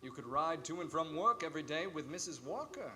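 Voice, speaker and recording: An actor playing a friendly, earnest bachelor lawyer in a 1940s-style radio play, speaking in an affable, casual, slightly hesitant way. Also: male voice, fairly high pitch, very animated, a little fast, pushed and bright.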